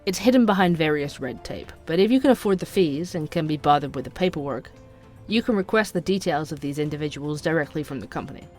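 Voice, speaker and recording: An actor playing a young podcaster who narrates urban conspiracies in a measured, inquisitive way for an audio drama. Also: mid-range voice, expressive, darkish tone, faint music behind, close to an everyday voice. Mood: intrigued, serious.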